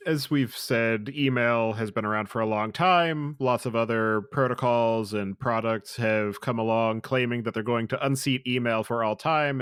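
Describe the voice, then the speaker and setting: A man's voice: mid-range voice, a bit bright, clear, resonant tone, no echo, clean studio sound. A man hosting a podcast interview, posing formally worded questions in long, articulate sentences.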